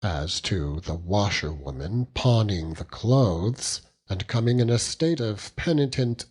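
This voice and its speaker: A man narrating a classic novel as an audiobook, in an expressive, dramatic storytelling manner. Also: on the low side, husky.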